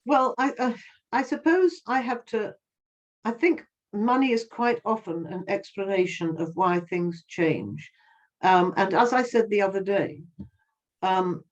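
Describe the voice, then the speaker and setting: An older woman giving earnest interview answers, impassioned, often restarting and repeating words. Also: fairly low pitch. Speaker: interviewee, activist. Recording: slightly dull, lo-fi sound.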